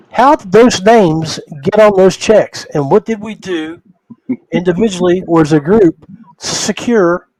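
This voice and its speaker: A man hosting a casual podcast conversation, speaking in a halting way and often repeating words. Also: high-pitched, a little mellow, dry recording, low-quality recording. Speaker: podcast host.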